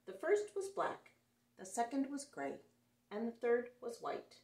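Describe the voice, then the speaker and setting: A woman's voice: a little high, huge pitch swings, measured pace, gravelly, darkish tone. A woman reading a picture book aloud to children in a playful, sing-song way, recorded indoors. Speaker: children's story reader. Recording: echoey room, mic some distance away.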